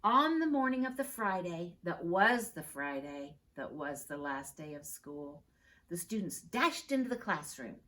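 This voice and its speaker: A woman teacher reading a picture book aloud with expressive storytelling. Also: lowish voice.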